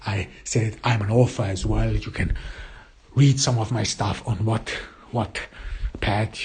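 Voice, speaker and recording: Very husky man. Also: fairly low pitch, steady tone, measured pace, a little mellow. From Austria. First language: German. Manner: searching for words in a second language. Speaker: podcast host. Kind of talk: casual solo podcast monologue.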